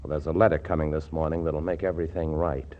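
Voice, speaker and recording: An actor playing a mild, unassuming ex-soldier scientist, understated and matter-of-fact, in a 1940s radio comedy. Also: male voice, rumbling low, little pitch movement, fast talker. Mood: mild, earnest. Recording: muffled 1940s radio recording.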